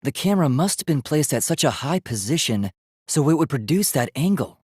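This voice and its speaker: A polite young male robot from a video game, speaks in a fairly high voice with very animated intonation. The delivery is formal, articulate and earnestly logical. The sound is dry, with no room on it. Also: a little fast, husky, no echo. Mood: certain, reasoning. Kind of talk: English video game voice acting.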